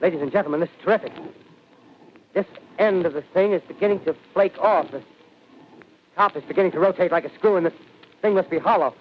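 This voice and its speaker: An actor playing a live on-scene radio reporter in a radio drama, talking in breathless commentary with hesitant fillers. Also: male voice, sits high, fast talker, pauses often, lightly acted. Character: excitable male field radio reporter. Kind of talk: 1930s radio drama acting. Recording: dull lo-fi radio recording, faint hiss.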